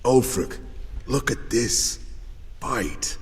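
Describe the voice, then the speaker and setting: An actor playing a steady, cautious warrior companion in a fantasy audio drama, measured and understated with dry humour. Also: male voice, medium pitch, breathy edge, exaggerated voice. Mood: calm, reassuring. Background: faint music.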